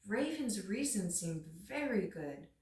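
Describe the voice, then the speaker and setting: An agreeable eagle chief from a storybook, read by a woman, gives judgment in measured statements. The sound is roomy and echoing, slightly away from the microphone. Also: mid-range voice, sing-song, darkish tone. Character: agreeable male eagle headman calling from a treetop. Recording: roomy echo, distant microphone.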